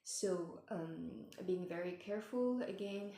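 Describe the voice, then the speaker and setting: A woman giving gentle spiritual advice in a meandering way, with many fillers and restarts, and she is losing her voice. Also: fairly low pitch, measured pace, reverberant. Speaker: spiritual mentor. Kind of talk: casual advice talk.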